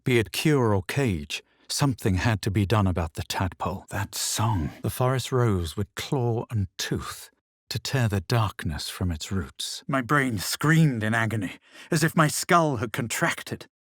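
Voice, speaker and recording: An actor playing a haughty, self-assured male adventurer in a fantasy video game, speaking measured, formal scripted lines with a superior manner. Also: on the low side, animated, dry recording, studio quality. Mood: grave, measured. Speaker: video game player character.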